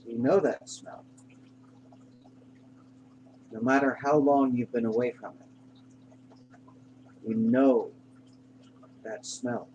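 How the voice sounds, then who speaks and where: Slow, reassuring man guiding a meditation. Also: mid-range voice, steady tone, darkish tone, dull audio. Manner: unhurried, reassuring, pausing between short phrases. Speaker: guided meditation narrator. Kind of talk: guided meditation, soothing scripted narration. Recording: clear background hum and noise.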